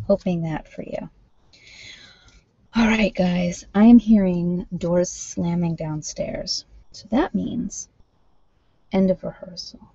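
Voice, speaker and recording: A woman chatting casually as a livestream host reading cards, thinking aloud in an informal, halting way, on dull lo-fi audio. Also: lowish voice, dark tone, no room sound. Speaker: livestream host doing tarot card readings. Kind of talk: casual live chat with viewers, card reading. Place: at home, indoors.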